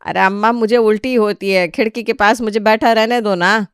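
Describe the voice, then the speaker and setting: Woman playing a sharp-tongued wife, dry close sound. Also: mid-range voice, steady tone, normal speed, clear, resonant tone, no echo, natural voice. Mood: defiant. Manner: argumentative, retorting. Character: sharp-tongued middle-aged wife, defiant daughter-in-law. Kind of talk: Hindi animated family story acting.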